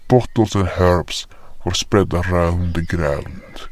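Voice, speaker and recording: A man's voice: low-pitched, takes it slowly, darkish tone, a little hiss or hum. A man playing a solemn creature who narrates his own tale in a scripted fantasy audio drama.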